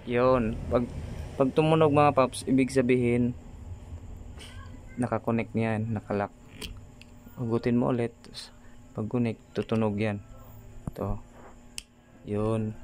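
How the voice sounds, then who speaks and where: Filipino guy doing a chill how-to vlog, pretty flat delivery, talks to viewers like buddies, bit of music in back. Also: mid-range voice. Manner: informal, addresses viewers as buddies. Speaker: vlogger presenting a product tutorial. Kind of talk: casual hands-on tutorial.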